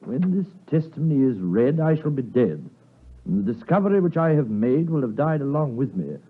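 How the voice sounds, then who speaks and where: Casting: grave British investigator, mid-range voice, measured radio-play delivery. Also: male voice, medium pitch, big pitch swings, breathy edge. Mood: grave discovery. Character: sober, measured gentleman investigator reading out a confession. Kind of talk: 1950s radio drama acting. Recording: muffled vintage radio broadcast, music behind.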